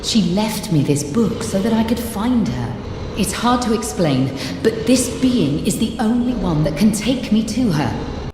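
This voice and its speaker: A woman's voice: medium pitch, big pitch swings, a little slow. An actress playing a driven, intense female scholar in a fantasy video game, searching for her lost family. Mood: urgent, pleading. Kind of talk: dramatic video game dialogue. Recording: echoey hall reverb, music behind.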